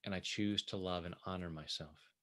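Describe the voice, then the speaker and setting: A man with a low-pitched voice leads guided affirmations as a coach. He speaks deliberately, setting out one short phrase at a time so that each can be repeated. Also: fairly even intonation, a bit bright. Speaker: tapping coach leading affirmations.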